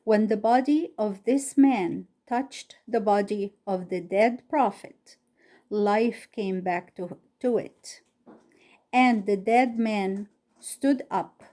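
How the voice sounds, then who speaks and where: Slow, careful woman reading aloud. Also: mid-range voice, dark tone. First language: not English. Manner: careful, halting reading with pauses between phrases. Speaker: Bible story reader. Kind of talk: reading a Bible story aloud.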